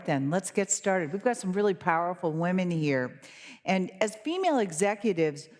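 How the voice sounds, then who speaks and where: A woman moderating a conference panel who briskly prompts the speakers and delivers prepared remarks. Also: on the low side, a little mellow. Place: conference hall. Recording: reverberant conference hall.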